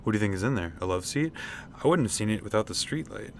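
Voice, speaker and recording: A man playing a deadpan, casual, slightly self-important guy who explains haltingly in a comic radio play. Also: lowish voice, creaky, a little hiss or hum. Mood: casual, wary.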